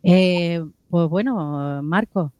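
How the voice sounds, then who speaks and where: A man's voice: a little high, drawn-out words, a little mellow, clean tone, dry recording. A man co-hosting a radio programme, introducing segments and putting questions to guests. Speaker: radio co-host.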